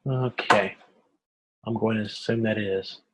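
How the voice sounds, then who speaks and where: A man's voice: lowish voice, steady tone, measured pace, background noise. Informal male instructor explaining a lesson. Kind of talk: informal video-conference lecture explaining slides.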